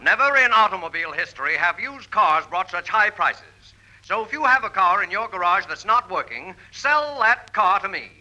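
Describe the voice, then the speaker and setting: An actor playing a brazen used-car buyer declaiming a pitch in a 1940s radio comedy. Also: male voice, big pitch swings, moderate pace, hardly any pauses, sharp tone, dull audio, full character voice. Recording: faintly noisy 1940s radio recording.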